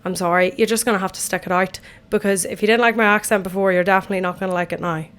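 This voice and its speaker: A young Irish woman hosts her own podcast, chatting casually from an empty bathtub at home. Her voice is a bit croaky from a night out, and she rambles along in a self-deprecating way. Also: mid-range voice, steady tone, very fast, fairly bright tone. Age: 20s. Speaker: podcast host. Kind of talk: casual solo podcast chat.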